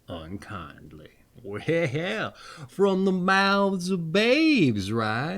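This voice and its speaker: A man tells old fairy tales in a playful, folksy way. His delivery is slow and deliberate, with lively rises and falls in pitch. He sounds like a theatrical channel host reading aloud. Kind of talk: expressive fairy tale read-aloud with casual folksy asides.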